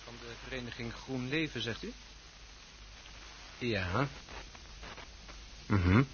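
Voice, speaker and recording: An actor playing a businesslike reporter on the telephone in a radio drama, brisk, polite and noncommittal. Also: male voice, medium pitch, expressive, slight background noise. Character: businesslike male newspaper reporter taking a phone call. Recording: dull, muffled old radio play recording.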